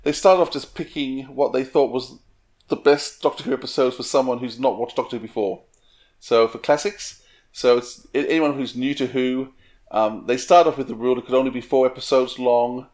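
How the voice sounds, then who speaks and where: Male podcast co-host, medium pitch, casual conversational delivery. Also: fairly even intonation, normal speed, darkish tone. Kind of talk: casual chat.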